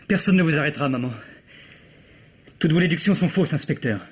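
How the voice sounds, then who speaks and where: Earnest young son, slightly husky. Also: male voice, a little high, fairly quick. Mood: resolute. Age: young adult. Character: earnest, defiant young son of a bourgeois family. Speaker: radio drama actor. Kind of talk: vintage French radio mystery acting. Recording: dull, lo-fi old radio recording.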